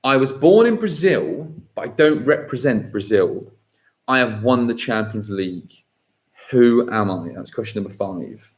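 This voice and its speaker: A man hosts a live football quiz, his pitch swinging up and down. He reads each question slowly and then repeats it. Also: animated. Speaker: quiz host.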